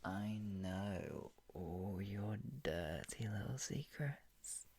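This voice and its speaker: This is a performer acting an obsessive, possessive kidnapper in an ASMR roleplay. The delivery is slow and smug, full of teasing sighs and chuckles. It often sinks toward a breathy, taunting whisper. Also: low-pitched, lively intonation, darkish tone, full character voice. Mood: sly, smug.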